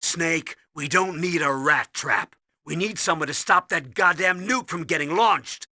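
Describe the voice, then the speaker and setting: An actor playing a stern, urgent military colonel who gives mission orders in a commanding, clipped way in a video game. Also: male voice, on the high side, measured pace, bright, forceful tone, no echo, studio quality, heavily acted. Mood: stern, exasperated. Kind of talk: dramatic video game radio briefing.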